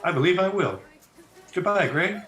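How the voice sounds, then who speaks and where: A man playing a kindly, earnest young gentleman in an old-time radio drama. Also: high-pitched, lively intonation, normal speed, soft background music, some chatter behind. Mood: friendly, curious. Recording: roomy home video-call audio.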